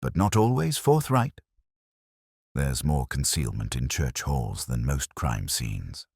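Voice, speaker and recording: A man voicing a calm, observant, understated police inspector in an audio mystery, measured and dryly musing. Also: extremely low pitch, gravelly, slightly rough, dry recording, studio quality, exaggerated voice.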